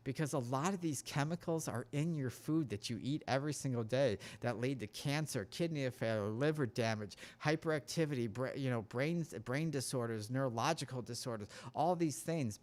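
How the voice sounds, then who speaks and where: A man's voice: medium pitch, moderate pace, hardly any pauses, darkish tone. A man giving a casual talk-show monologue on health, earnest and preachy, rambling through his points. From United States.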